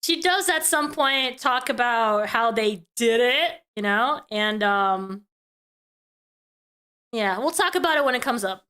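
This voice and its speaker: Bright, sarcastic high female host. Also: expressive, slow, clean tone. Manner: sarcastic, mocking. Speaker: vtuber host reacting to a clip. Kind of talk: reaction commentary.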